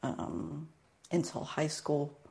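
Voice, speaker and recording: Female guest, American accent, low gravelly register, thoughtful conversational storytelling with frequent pauses. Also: very animated, a little mellow. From Northern Virginia, United States. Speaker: guest, martial arts and spiritual teacher. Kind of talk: reflective personal storytelling in conversation.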